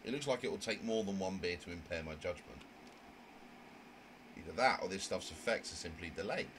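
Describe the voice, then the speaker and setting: A man's voice: deep voice, lively intonation, a little fast. A man voicing a prim, indignant young narrator in a visual novel, with wordy, fussy, theatrical diction. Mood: reflective. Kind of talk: dramatic reading of a visual novel. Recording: noisy home microphone recording.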